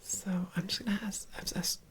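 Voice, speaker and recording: A woman doing a whispered ASMR roleplay as an awkward, shy organ harvester, speaking haltingly and repeating phrases softly. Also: mid-range voice, flat delivery. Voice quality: breathy whisper with fry. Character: awkward, shy female organ harvester prepping a living patient.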